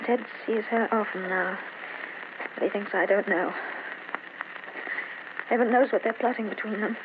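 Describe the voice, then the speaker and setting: An actress playing a brooding, jealous wife in an old-time radio drama, tense and halting, with bitter melodrama. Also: female voice, mid-range voice, fairly even intonation, close to an everyday voice. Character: brooding, suspicious, jealous wife haunted by obsession. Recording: noisy, narrow-band old radio recording.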